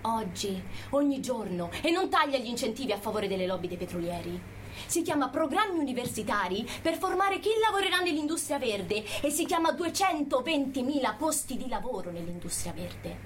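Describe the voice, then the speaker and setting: High-voiced, indignant activist woman, played by an actress. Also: sing-song, fairly quick, slight background noise. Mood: insistent. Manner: accusing, rhetorical, emphatic. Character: indignant Italian activist woman living in California, pleading passionately. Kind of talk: impassioned political theatre monologue.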